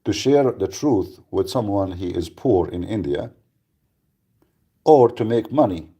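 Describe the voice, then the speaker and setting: A man's voice: fairly low pitch, lively intonation, unhurried, mellow, breathy edge. A man hosting a live radio talk, speaking bluntly and emphatically, with frequent repeats and restarts. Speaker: radio show host.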